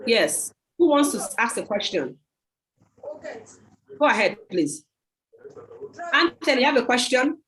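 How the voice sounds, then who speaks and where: Emphatic woman pitching on a video call. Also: sing-song, unhurried, long pauses, fairly bright tone, rough recording. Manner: emphatic, repetitive, persuasive. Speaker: host of a product sales meeting. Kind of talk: sales pitch with audience questions. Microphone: computer mic on a video call.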